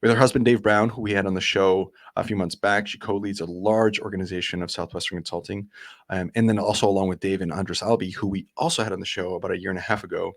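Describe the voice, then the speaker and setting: Fast-talking eager male podcast host. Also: sits low, fairly even intonation, no room sound, studio quality. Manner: eager, chatty, many restarts. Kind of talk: casual podcast interview.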